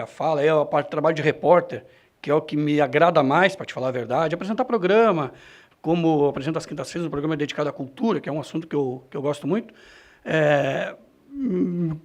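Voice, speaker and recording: A man answering interview questions about his own experience, in a halting, self-correcting way. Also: a little high, darkish tone. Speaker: radio reporter and presenter being interviewed. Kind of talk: reflective interview answer, personal anecdotes.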